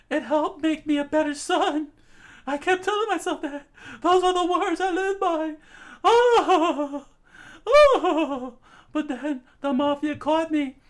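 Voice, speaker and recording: A woman voicing an anxious, excitable teenage boy who rambles and stumbles over his words. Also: high-pitched. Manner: rambling, excitable, breaks into laughs.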